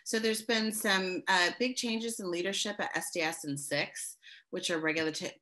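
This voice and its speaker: Female host, low pitch, bright edge, relaxed filler-heavy chat. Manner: casual, frequent "you know" and "um". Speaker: podcast host. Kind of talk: interview conversation.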